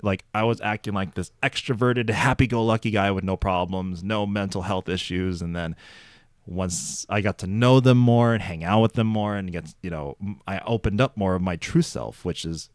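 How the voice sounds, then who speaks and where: A man's voice: sits low, normal speed. A man hosting a podcast, talking in a casual, conversational interview style. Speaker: podcast host interviewing a guest.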